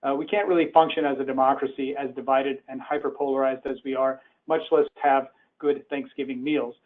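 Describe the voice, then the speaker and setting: A man's voice: mid-range voice, fairly even intonation, brisk. An earnest, conversational man born in Iran, giving a presentation from home over a call. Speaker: webinar speaker. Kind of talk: presentation talk. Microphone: remote call. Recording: tinny phone-like web call audio.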